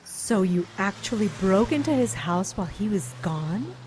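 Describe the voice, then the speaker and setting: A woman's voice: medium pitch, expressive, normal speed, darkish tone, slight background noise, natural voice, rough recording. A woman playing a detective's confident, teasing partner in an audio drama.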